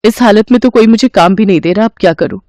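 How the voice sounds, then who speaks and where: A woman's voice: medium pitch, fast, darkish tone, no echo, studio quality, natural voice. A woman voicing a poor, devoted, polite young wife and mother-to-be in a moral story, with an earnest, pleading manner. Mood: worried. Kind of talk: animated moral-story dialogue.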